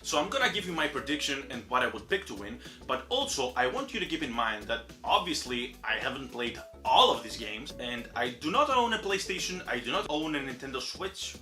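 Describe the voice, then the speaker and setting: A man's voice: fairly quick, few pauses. A young man from Greece with a Greek accent, a native Greek speaker, chatting casually and self-deprecatingly about game award nominees as a channel host. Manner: chatty and self-deprecating, animated swings in pitch. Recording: roomy echo with faint music behind.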